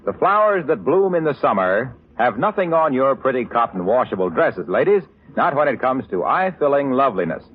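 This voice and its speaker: A male announcer reads scripted copy in 1940s radio style, with a medium, very varied pitch. The old broadcast is narrow and phone-like, with faint noise. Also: very animated, brisk. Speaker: announcer and narrator.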